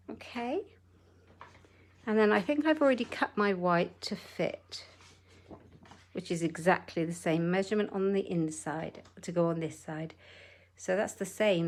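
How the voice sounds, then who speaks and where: A woman demonstrating card-making in a chatty, step-by-step way, her voice a little croaky. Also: on the low side. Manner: chatty, measured step-by-step instructions. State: slightly croaky voice. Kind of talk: live crafting tutorial.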